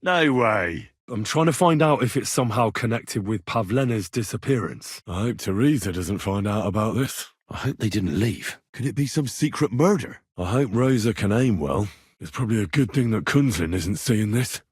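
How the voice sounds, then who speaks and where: A man playing a young, earnest, wry medieval adventurer in a video game, slightly husky, muttering his thoughts and quick to curse. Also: fairly low pitch, expressive, no echo, studio quality. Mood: musing, curious. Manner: muttering thoughts to himself, bursts into shouts. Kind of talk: acted video game voice lines.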